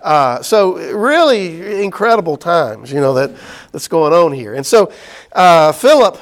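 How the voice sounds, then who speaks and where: A man's voice: a little high, big pitch swings, unhurried. Chatty man teaching Bible class. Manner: conversational, many fillers and restarts. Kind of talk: casual Bible study lecture.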